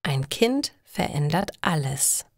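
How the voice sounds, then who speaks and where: Slow, animated woman storyteller. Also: sits low, dry recording, studio quality. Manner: clear, deliberate enunciation. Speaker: narrator of stories for language learners. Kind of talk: scripted storytelling.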